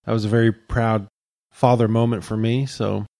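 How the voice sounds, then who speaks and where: Low-voiced earnest podcast co-host. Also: male voice, flat delivery, creaky, darkish tone, no room sound, studio quality. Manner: earnest and supportive, slow deliberate phrasing. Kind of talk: casual podcast chat.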